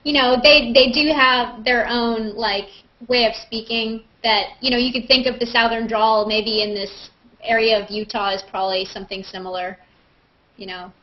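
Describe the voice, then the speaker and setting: A woman's voice: a little high, fairly even intonation, normal speed. A woman chatting casually and jokingly in slangy speech on a live group video call. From Canada. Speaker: host. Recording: dull, lo-fi video-call audio.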